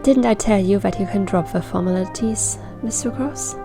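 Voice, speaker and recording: A stoic male guardian spirit from a fantasy story, read by a woman. He is terse and protective, speaking plainly at an ordinary feminine pitch over faint music. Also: normal speed, natural voice. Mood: curt, reassuring. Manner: terse, matter-of-fact.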